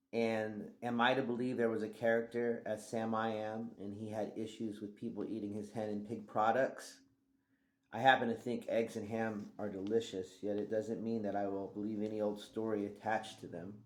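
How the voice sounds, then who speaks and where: Low-voiced man reading in an echoey room. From Thailand. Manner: conversational, drops to a quiet mutter at phrase ends. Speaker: author reading his book. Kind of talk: reflective audiobook-style reading.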